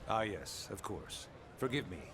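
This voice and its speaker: Stately middle-aged military official, lowish voice, measured, courteous, video game, noticeable ambient noise. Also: male voice, animated. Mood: courteous, formal. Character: formal, commanding male government secretary and former captain. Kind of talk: video game cutscene voice acting. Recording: clear background ambient noise.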